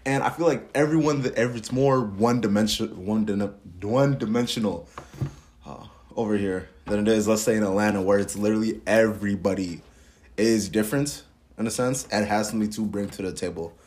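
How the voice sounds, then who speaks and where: Low-voiced young dude from minnesota, blunt and slangy, just chatting. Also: male voice, brisk, a little mellow. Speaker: interviewer. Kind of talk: casual conversation.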